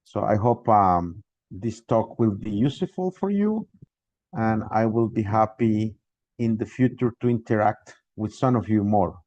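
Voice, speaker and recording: A man's voice: lowish voice, animated, unhurried, darkish tone, no echo, muffled recording. Costa Rican man lecturing over a video call. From Costa Rica. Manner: hesitant, many restarts and fillers. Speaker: guest lecturer. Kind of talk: online academic lecture. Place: home, remote video call.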